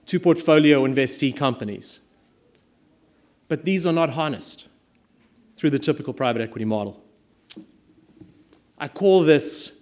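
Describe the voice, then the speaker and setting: A middle-aged South African man, raised speaking Afrikaans, delivers a prepared keynote with a somewhat raised voice. He speaks in a measured, emphatic way, leaning on key words and pausing deliberately between phrases. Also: a little high, a little mellow. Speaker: conference speaker.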